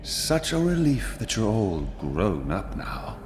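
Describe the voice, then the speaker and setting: A man voicing a roguish, smooth-talking thief who is sly and flirtatious. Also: fairly low pitch, huge pitch swings, slow pace, music in the background, heavily acted. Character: roguish charming male thief and scoundrel. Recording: noisy background.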